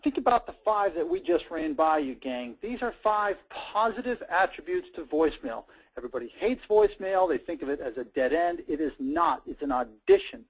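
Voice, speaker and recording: A man's voice: fairly high pitch, expressive, normal speed, few pauses, poor audio. An American man hosting a sales coaching talk in a conversational, emphatic style, with punchy short sentences. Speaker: host, sales trainer.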